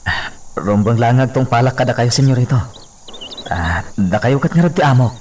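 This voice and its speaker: A man's voice: medium pitch, expressive, normal speed, darkish tone, close to an everyday voice. A man with an Ilocano accent playing a humble, respectful servant on a hacienda in a radio drama. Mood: deferential.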